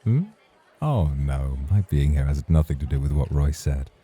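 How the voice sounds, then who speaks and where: A man's voice: a bass voice, expressive, normal speed, warm tone, husky, a faint crowd, exaggerated voice. A man playing a suave, patient nightclub host in an ASMR roleplay, speaking in a formal, courtly, flirtatious way. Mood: suave, intrigued. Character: suave, patient male nightclub host, teasing rival charmer.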